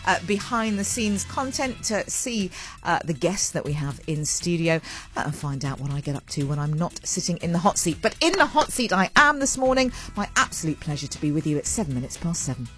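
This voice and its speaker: A woman hosting a live morning radio show in a studio, chatty and rambling, her voice sitting lower than usual after a late night. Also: very animated, a little fast, hardly any pauses, faint music behind. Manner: rambling, warm and self-mocking, with laughs. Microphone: large studio mic with a fluffy cover.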